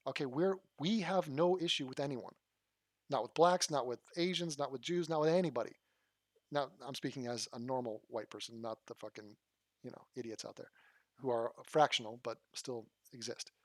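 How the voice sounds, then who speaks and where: A man's voice: a little high, no echo, clean studio sound. A man hosting his channel, giving blunt, rambling casual commentary and swearing freely. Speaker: channel host reacting to a clip.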